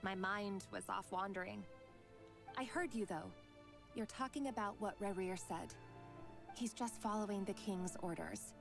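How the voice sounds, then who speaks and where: Game ally, calm explainer, creaky timbre, music under the dialogue. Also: female voice, mid-range voice, a little slow.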